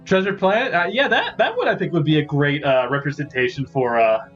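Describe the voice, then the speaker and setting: A man with a slightly high voice hosts a gaming session. He rambles along casually and chattily as he comments on play. The mic sounds muffled and lo-fi, and game music plays faintly underneath. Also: very animated, a little fast. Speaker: host of a gaming series.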